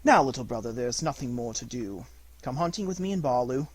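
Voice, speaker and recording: Guy doing a menacing male panther for a storybook, measured and imperious, kinda husky, dry recording. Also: medium pitch, lively intonation, dry recording, close to an everyday voice. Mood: calm, coaxing.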